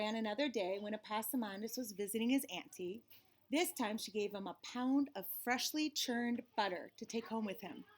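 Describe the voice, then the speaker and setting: A woman reading a picture book aloud to children, expressive, with chatty asides and questions to the listeners. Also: a little high. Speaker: read-aloud storyteller for children.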